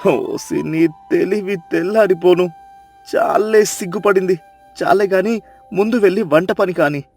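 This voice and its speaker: A woman's voice: on the low side, a little slow, darkish tone, breathy edge, voice pushed down. A woman voicing a short-tempered village husband who scolds his wife in an exasperated way, with faint music behind. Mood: scolding.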